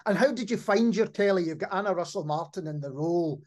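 A man's voice: sits high, fast, darkish tone. A middle-aged man speaks as the host of a podcast. His delivery is conversational, as he responds and leads into questions for his guest. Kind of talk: conversational interview.

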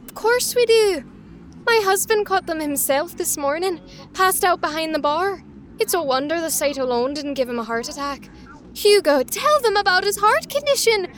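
A woman's voice: extremely high pitch, lively intonation, moderate pace, fairly bright tone. An actress playing a fretful, overwrought married woman in dramatic, agitated audio drama dialogue. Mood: upset, indignant.

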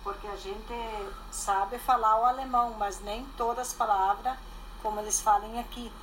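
A woman's voice: a little high, fairly even intonation, normal speed, background noise. Older woman, matter-of-fact. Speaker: interview guest. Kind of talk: casual conversation. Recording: faint background ambience.

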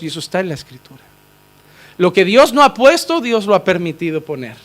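Pastor guy preaching hard, high voice, gets loud then drops low. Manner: impassioned preaching, swings between shouting and low quiet asides. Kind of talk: sermon.

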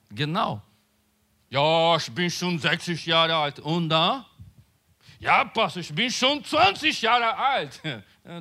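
High, lively, bright-voiced preaching man. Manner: emphatic preaching with quiet asides; halting, searches for words. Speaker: pastor. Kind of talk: sermon.

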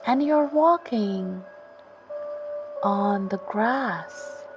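Very slow, soothing woman narrating sleep meditation. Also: medium pitch, animated. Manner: soothing, gentle, unhurried with long pauses. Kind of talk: guided sleep meditation storytelling. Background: background music.

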